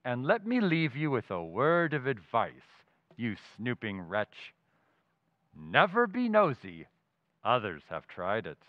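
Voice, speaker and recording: An actor playing a morbidly genteel undertaker in old-time radio comedy, with grandiose, drawn-out funereal delivery. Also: male voice, medium pitch, wildly swooping pitch, no room sound, highs cut off. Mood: grave, advising.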